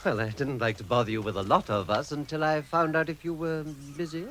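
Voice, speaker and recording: An actor playing a courteous, wily old wizard with a theatrical, diplomatic manner in a 1960s radio drama. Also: male voice, fairly high pitch, steady tone, a little fast, fairly bright tone, slight background noise. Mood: diplomatic, evasive. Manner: hesitant diplomacy, throat-clearing, theatrical. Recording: vintage radio production with music.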